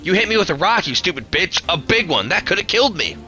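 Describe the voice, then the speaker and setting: A cruel village bully boy, taunting and jeering in a voice pitched well above a grown man's, as a male narrator puts him on. The tone stays sharp and biting, with faint music under the storybook reading. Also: animated, quick pace. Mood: furious.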